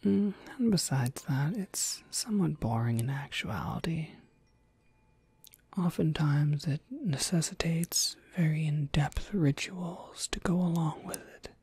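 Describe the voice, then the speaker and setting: A woman playing a gentle, attentive witch shopkeeper in a whispered ASMR roleplay, breathy, polite and softly reassuring. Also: low-pitched, expressive, a little slow, no echo, heavily acted. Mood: helpful, explaining.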